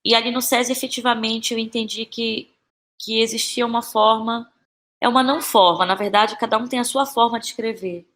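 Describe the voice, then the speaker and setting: A Brazilian woman in her thirties speaking as a guest in a conversational podcast interview, thinking aloud haltingly and repeating phrases. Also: on the high side, fairly even intonation, a bit bright. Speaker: interview guest, playwright and actress.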